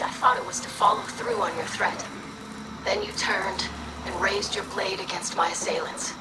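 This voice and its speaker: A woman's voice: medium pitch, rough recording. A woman playing an earnest, compassionate young female alien scribe in a video game, speaking in formal, solemn phrases. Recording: loud game music behind.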